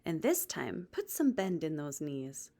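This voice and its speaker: A woman's voice: sits low, sing-song, unhurried, dark tone, exaggerated voice. A woman voicing a kind male mentor in a children's storybook, teaching in an encouraging, instructive way.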